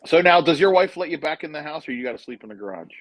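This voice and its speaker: Middle-aged male guest for a chat show. Slightly higher pitch, dry recording, loose joking storyteller. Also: racing, no echo. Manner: joking, rambling storyteller, speeds up when excited.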